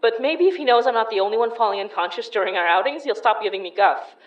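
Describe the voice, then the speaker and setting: A woman playing a calm, wry paranormal investigator who narrates the story, in an audio horror drama. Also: on the high side, fast, muffled recording, close to an everyday voice.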